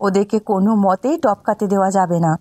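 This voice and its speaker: A woman's voice: medium pitch, quick pace, a little mellow, dry recording, natural voice. A woman voicing a competitive, boastful young village housewife in a folk-tale cartoon, with a gossipy, emphatic delivery. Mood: curious, competitive. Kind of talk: cartoon voice acting.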